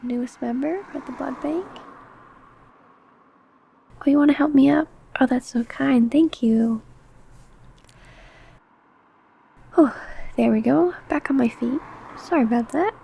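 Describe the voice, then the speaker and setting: A woman playing an obsessive, predatory female vampire who poses as a sweet coworker, in a soft, breathy ASMR roleplay. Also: sits high, moderate pace, pauses often. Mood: sweetly apologetic. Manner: soft, breathy, whispery murmuring.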